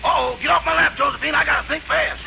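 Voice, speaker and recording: An actor playing a sly male valet in a 1940s radio comedy, with a gravelly voice. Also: extremely high pitch, fairly even intonation, racing, full character voice. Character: sly male valet caught throwing a party. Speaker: valet character. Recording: lo-fi 1940s radio broadcast with hiss.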